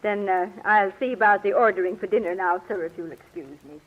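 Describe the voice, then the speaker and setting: An actress in an old radio drama playing a polite, deferential housekeeper. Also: female voice, mid-range voice, brisk, natural voice. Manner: deferential, proper, servile. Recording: muffled old radio recording.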